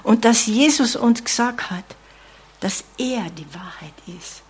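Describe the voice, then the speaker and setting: A woman's voice: fairly high pitch, takes it slowly, slightly rough. A woman preaching a sermon in an earnest tone, often adding "ja?" asides and dropping to a whisper.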